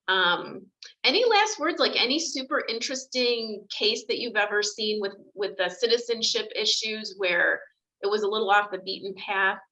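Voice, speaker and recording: A woman's voice: mid-range voice, moderate pace, sharp tone, poor audio. A woman immigration attorney chatting on a video call, talking easily with frequent fillers. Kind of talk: video call panel discussion.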